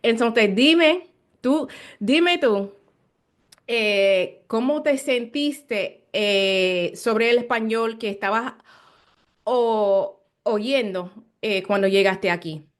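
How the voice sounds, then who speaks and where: A woman's voice: fairly high pitch, extremely slow. A woman with an American accent hosting a casual interview in Spanish as a second language, searching for words as she goes. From Chicago, United States. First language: English. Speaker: interviewer, host.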